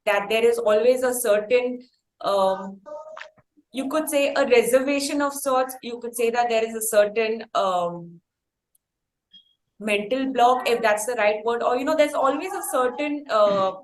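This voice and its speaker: A woman with an Indian accent interviewing in a conversational style, with wordy, halting phrasing. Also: a little high. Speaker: podcast interviewer. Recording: roomy echo, faint background noise.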